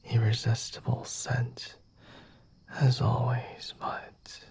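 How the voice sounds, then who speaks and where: A man voicing a flirty, condescending vampire in an ASMR roleplay, speaking deliberately in a husky half-whisper with sighs and hums. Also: mid-range voice, a little mellow, full character voice. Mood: teasing, lenient. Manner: slow, deliberate, formal wording with sighs and hums. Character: flirty, condescending, merciful male vampire, self-assured and teasing.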